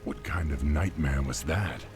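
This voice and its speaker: An actor playing a young orc warrior in a fantasy video game, gravelly, slow and commanding. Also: male voice, very deep voice. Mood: wary, resolute. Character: young male orc war chief and his gruff warriors. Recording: game music behind the voice.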